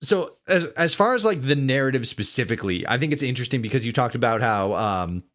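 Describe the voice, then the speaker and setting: A man hosting a casual interview podcast, building long questions haltingly and repeating words as he goes. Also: mid-range voice, animated, a bit bright.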